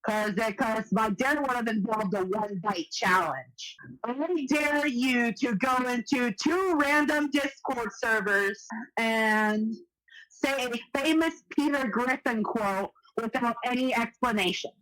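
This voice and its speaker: A woman's voice: on the high side, takes it slowly, a bit bright, low-quality recording. Woman chatting on a group call. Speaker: truth or dare player. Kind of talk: casual group call chat.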